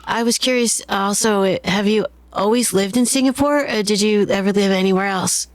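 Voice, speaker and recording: A woman's voice: mid-range voice, normal speed, no room sound. A woman hosting a casual interview, asking curious questions and thoughtfully rephrasing her guest's ideas. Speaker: podcast host.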